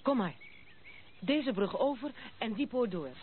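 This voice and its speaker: A woman's voice: on the high side, animated. An actress playing a blunt, proud, self-assured young noblewoman in a radio play. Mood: blunt, dismissive. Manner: brisk, self-assured, outspoken. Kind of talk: Dutch radio play acting. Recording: muffled narrow-band old radio recording, noisy.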